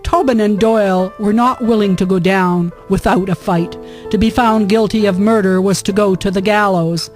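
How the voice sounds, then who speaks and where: A woman narrates a historical radio drama from a script. Her voice sits at a middle pitch, with light instrumental guitar behind it. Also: steady tone, normal speed, darkish tone.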